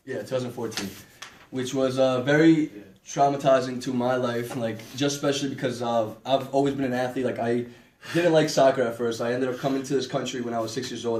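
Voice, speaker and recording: A man's voice: medium pitch, a little mellow. A young man from Ecuador giving a casual class presentation, rambling with lots of "like" and fillers. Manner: rambling, filler-heavy, "like" repeated. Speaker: student presenter. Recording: echoey room with background hiss.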